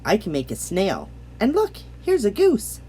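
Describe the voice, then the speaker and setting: A woman reads the part of a mother in a bedtime story. She is soothing and playful, speaking slowly with lively rises and falls. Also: mid-range voice, slight background noise. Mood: reassuring, playful. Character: reassuring mother, gentle and playful.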